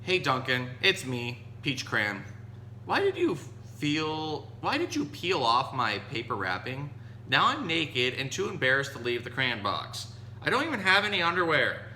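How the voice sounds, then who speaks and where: Guy doing embarrassed whiny peach crayon, storybook, begging, super bright, echoey. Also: medium pitch, wildly swooping pitch, moderate pace, heavily acted. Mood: embarrassed, pleading. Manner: whiny, pleading. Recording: roomy echo, some noise.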